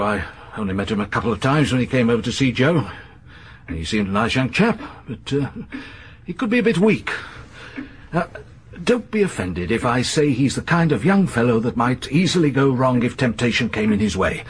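An actor playing an older retired army major in a radio drama, with a blunt, courteous, emphatic manner. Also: male voice, mid-range voice, expressive, moderate pace, husky. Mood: grave, frank. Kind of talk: broadcast radio drama acting.

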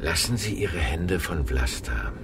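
A man acting in a German radio drama as a brash, forceful film producer who pressures and cajoles. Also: sits low, lively intonation, a little slow, background noise. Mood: agitated.

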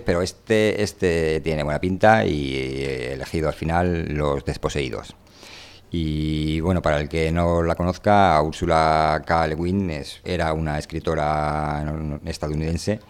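Man, deep voice, Spanish accent from Spain, community radio host, colloquial, rambling, informal talk. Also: clear, resonant tone.

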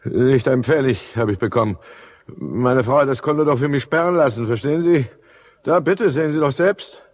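A man's voice: medium pitch, moderate pace, close to an everyday voice. A male actor playing a desperate, drunken middle-aged man, maudlin and menacing, in a German radio drama. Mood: bitter, agitated. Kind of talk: German radio drama acting with first-person narration.

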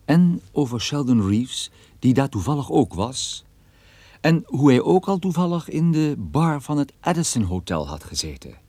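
An actor playing a private detective who narrates his own case in a measured first-person radio drama style. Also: mid-range voice, very animated, dark tone, no room sound. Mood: measured, recounting. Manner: measured, recounting.

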